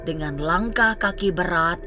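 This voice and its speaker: A woman's voice: on the low side, a little slow, music in the background. A woman delivering scripted narration as the narrator of a paranormal television show.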